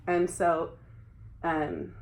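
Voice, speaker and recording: A woman's voice: low-pitched, very animated, drawn-out words, a little mellow. A young adult woman talking casually about her school years, often using "like". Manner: conversational, frequent filler "like". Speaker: interviewee. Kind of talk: casual conversation. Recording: echoey room.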